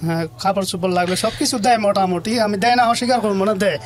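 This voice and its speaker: A man's voice: high voice, quick pace, soft background music. A man with a Bangladeshi accent, an interview guest telling his own life story in colloquial, earnest, conversational speech.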